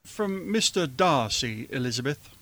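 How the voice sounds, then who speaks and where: A man playing a fond, mild elderly gentleman father in a radio play, with a musing, hesitant manner. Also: mid-range voice, expressive, a little slow, dry recording. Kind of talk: radio theater acting.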